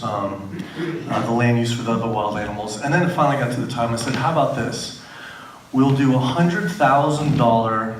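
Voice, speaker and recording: A man's voice: medium pitch, slight background noise. Rambling man telling a story in an echoey hall. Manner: rambling storytelling with restarts and asides. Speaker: panelist. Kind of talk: festival panel discussion. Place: festival screening venue. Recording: echoey room, distant mic.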